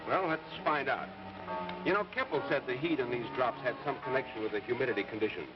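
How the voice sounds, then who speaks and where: Guy acting as a curious scientist in an old 50s radio play, talks quick, muffled recording w/ music. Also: mid-range voice, lightly acted. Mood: intrigued. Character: scientist named Carl, thoughtful, calmly curious man. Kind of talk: 1950s radio drama acting.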